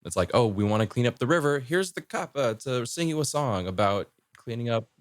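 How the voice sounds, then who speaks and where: A man explaining things in a casual podcast chat, halting and thoughtful with light amusement. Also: medium pitch, lively intonation, dry recording, clean studio sound. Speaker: podcast speaker.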